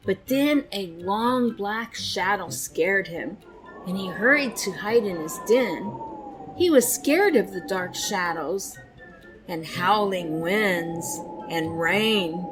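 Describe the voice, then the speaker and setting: A woman narrating a children's storybook with expressive, deliberate phrasing. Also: mid-range voice, rough recording.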